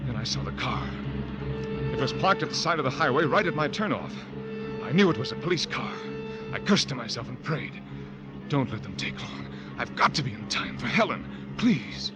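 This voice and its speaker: A young actor playing a guilty, panicking husband who narrates his own story in a halting, stammering way, in 1940s radio drama style. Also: mid-range voice, lightly acted. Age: young adult. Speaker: narrator and lead.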